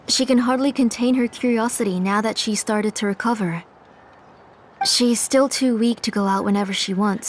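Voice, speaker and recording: A woman voicing a gentle, caring mother in a video game, speaking in a warm motherly way. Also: medium pitch, slight background noise, lightly acted. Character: caring gentle mother, former name engraver. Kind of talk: game voice acting.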